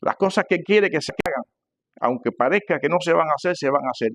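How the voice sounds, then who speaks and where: An older man with a Cuban Spanish accent preaching to a congregation, emphatic and repeating phrases, swinging between loud and hushed delivery. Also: on the high side, expressive, fast talker, a little hiss or hum. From Cuba. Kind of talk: sermon, teaching a church congregation.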